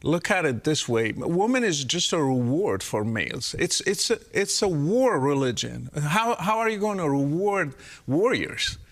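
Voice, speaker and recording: Earnest man, somewhat high voice. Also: very animated, moderate pace, a bit bright. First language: Arabic. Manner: earnest, explanatory, emphatic. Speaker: guest. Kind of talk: podcast interview.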